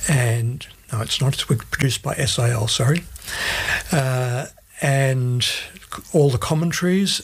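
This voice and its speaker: Interview guest: Australian man, middle-aged, slightly rough-edged voice, plain-spoken and methodical explainer. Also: mid-range voice. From Australia, grew up in Sydney. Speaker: interview guest, Bible translation advisor.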